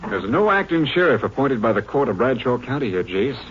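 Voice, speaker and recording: An actor playing a grave, concerned Texas Rangers captain in an old-time radio drama, authoritative but informal. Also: male voice, medium pitch, big pitch swings, a little fast, natural voice. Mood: businesslike. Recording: noisy, dull vintage radio recording.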